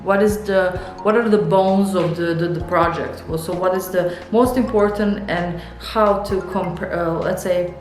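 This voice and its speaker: A young woman with a Serbian accent, a Serbian native speaker, rambling and thinking out loud in a casual studio vlog, with many fillers and restarts. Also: fairly low pitch, steady tone, unhurried, hardly any pauses, echoey room, faint music behind. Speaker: illustrator and author. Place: home art studio.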